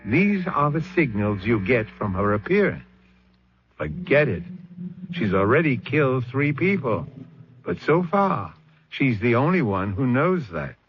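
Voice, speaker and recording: Theatrical male voice for vintage radio mystery: ominous, measured, animated intonation, muffled and faintly humming. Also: medium pitch. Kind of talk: old radio drama monologue. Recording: dull old radio, faint hum.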